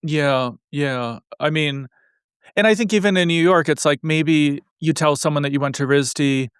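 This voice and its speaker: A man's voice: fairly high pitch, moderate pace, clean tone, no echo, clean studio sound. A man hosting an interview, asking long, meandering questions full of hedges and fillers. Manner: rambling, hedging questions full of you know and like. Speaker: interviewer, show host. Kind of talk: casual interview conversation.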